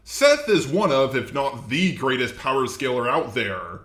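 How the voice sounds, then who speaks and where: Male video commentator, mid-pitched, blunt and casual delivery, roomy echo. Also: big pitch swings, unhurried, fairly bright tone. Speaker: host, commentator. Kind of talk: informal scripted video commentary.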